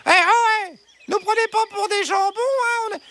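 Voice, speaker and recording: A man voicing wary, excitable female hens in a comic animal story, hesitant and full of stammers. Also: very high-pitched, normal speed, background noise, pitched above the performer's own voice. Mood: indignant. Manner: hesitant, stammering with filler sounds.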